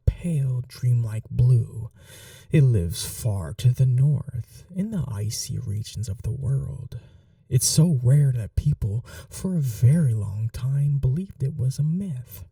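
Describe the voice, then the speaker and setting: A woman voicing a doting but cruel vampire mistress in an intimate ASMR roleplay, teasing and coaxing in a breathy voice that often drops to a whisper. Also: rumbling low, warm tone, no echo, studio quality, far from the mic, heavily acted.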